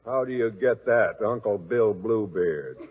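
A man's voice: lowish voice, unhurried, slightly rough. A man hosting and narrating a children's radio show in an avuncular, theatrical 1940s announcing and storytelling style. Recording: dull old radio recording.

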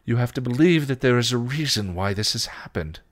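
A man's voice: mid-range voice, animated, brisk, voice pushed up, lightly acted. A stern, exasperated protector in a storybook reading, acted out by a man. He speaks in a firm, formal way, scolding and emphatic, with principled patience wearing thin. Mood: firm, earnest.

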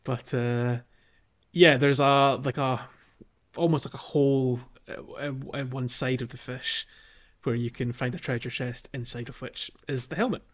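A man's voice: mid-range voice, fairly even intonation, moderate pace. A man co-hosting a podcast, casually talking through a video game in a conversational, somewhat hesitant way. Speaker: podcast co-host.

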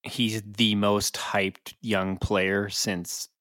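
A man with a fairly low voice speaks as a podcast panelist. His delivery is conversational and informal, like casual sports chat. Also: level tone, extremely slow, fairly bright tone, dry recording, clean studio sound.

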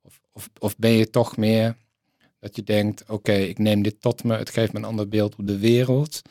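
A man's voice: lowish voice, flat delivery, normal speed, warm tone, no room sound. A man, recorded dry with no room sound. He interviews casually about books, feeling his way through long, tentative questions. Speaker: interviewer, host.

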